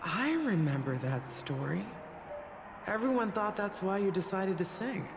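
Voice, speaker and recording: A woman's voice: medium pitch, expressive, measured pace, vocal fry. A woman playing an easygoing, plain-spoken adult female confidante in a video game, casual and matter-of-fact. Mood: casual, reassuring. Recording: long reverb, music under the voice. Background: background music.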